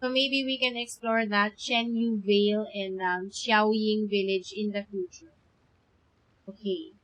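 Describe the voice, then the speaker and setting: A woman's voice: medium pitch, little pitch movement, a little slow, a bit bright, low-quality recording. A woman with a Filipino accent doing casual live gameplay commentary as a streamer, chatty and reacting aloud. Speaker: game livestreamer. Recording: game music behind the voice.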